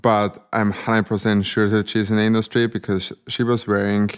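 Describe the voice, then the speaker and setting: A man's voice: fairly low pitch, moderate pace, smooth. A man with an Austrian accent, a guest talking casually and a little hesitantly in an interview. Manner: relaxed, hesitant with fillers.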